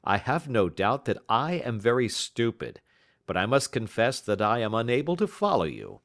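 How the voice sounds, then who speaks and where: Audiobook sidekick read by a man: puzzled, courteous gentleman, modest and loyal, expressive intonation, mid-range voice. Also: mid-range voice, moderate pace. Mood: puzzled, polite. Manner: polite, questioning. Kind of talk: audiobook character dialogue.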